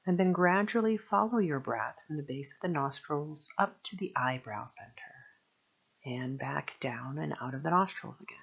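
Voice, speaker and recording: A woman guiding a meditation as a teacher, speaking gently and soothingly in measured phrases with long pauses. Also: sits low, expressive, creaky, breathy edge, lo-fi sound. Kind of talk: guided meditation narration.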